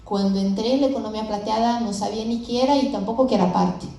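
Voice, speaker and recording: A woman in her fifties, a startup founder, telling her story in a lively, conversational way at a conference. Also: on the high side, fast. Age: 50s. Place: large event hall with audience. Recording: echoey hall from a distant mic.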